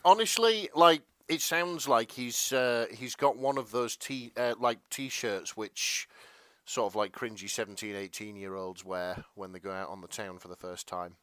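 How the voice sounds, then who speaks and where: Male co-host for loose podcast banter, halting and sweary, dry close sound. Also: fairly low pitch, a bit bright, clean tone, no echo. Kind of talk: casual chat.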